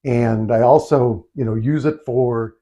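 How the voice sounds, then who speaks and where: A man's voice: mid-range voice, unhurried, mellow. An American man hosting a casual, unscripted DIY vlog from a small home office, talking in an informal, rambling way full of conversational asides. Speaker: video host, DIY speaker builder.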